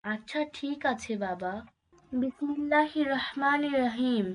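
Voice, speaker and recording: A woman's voice: high-pitched, unhurried, poor audio. A woman playing a sweet, obedient young daughter in a moral cartoon, speaking politely and devoutly. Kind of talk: Islamic moral cartoon voice acting.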